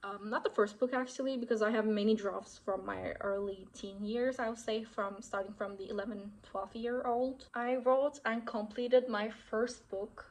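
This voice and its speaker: A woman's voice: a little high, fairly even intonation, normal speed, hardly any pauses, rough recording. A young woman from Turkey with a Turkish accent, talking casually to camera in a vlog and often correcting herself with "I would say". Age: young adult. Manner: chatty, self-correcting, frequent "I would say". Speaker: author vlogger.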